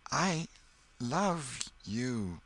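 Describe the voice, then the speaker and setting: A man's voice: medium pitch, big pitch swings, moderate pace, sharp tone, pitched above the performer's own voice, heavily acted. A man voicing a coy, timid, sweet little schoolgirl with a hesitant, bashful manner in a storybook reading. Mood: shy, tender.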